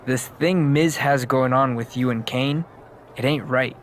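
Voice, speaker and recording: A man's voice: medium pitch, unhurried, slight background noise, lightly acted. A man playing a streetwise ex-con wrestler in a video game, talking in a slangy, earnest way. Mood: conspiratorial. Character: streetwise male wrestler ex-con offering shady advice.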